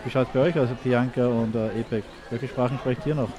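A man's voice: medium pitch, steady tone, brisk, a crowd in the background. A man hosting a live community radio interview outdoors, upbeat and encouraging. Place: outdoors at a street festival.

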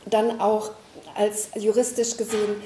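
A woman's voice: medium pitch, level tone, a little slow, warm tone. Hesitant woman in echoey room. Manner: hesitant, many fillers. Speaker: network representative. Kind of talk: spoken greeting address.

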